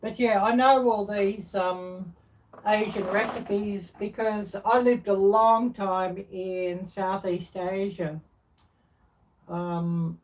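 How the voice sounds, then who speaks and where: A middle-aged woman chatting casually and unscripted as a live-stream cooking host, halting and rambling as she searches for words. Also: medium pitch. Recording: distant mic, clear background noise.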